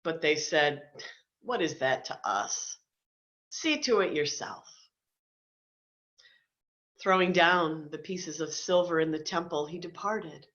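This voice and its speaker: Low-voiced woman reading scripture, dull audio. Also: slow, pauses often, fairly bright tone. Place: indoor room, on a video call. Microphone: video call. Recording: dull lo-fi video call audio.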